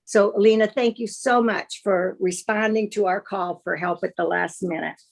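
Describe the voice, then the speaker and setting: An older woman moderating a design panel discussion over a video call, measured, gracious and formal in her delivery. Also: mid-range voice, steady tone, creaky.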